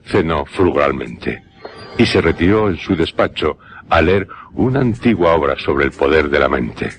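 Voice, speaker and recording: An actor with a Castilian Spanish accent as a grave narrator of a radio horror tale, solemn and deliberate with pauses. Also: male voice, very deep voice, a little hiss or hum.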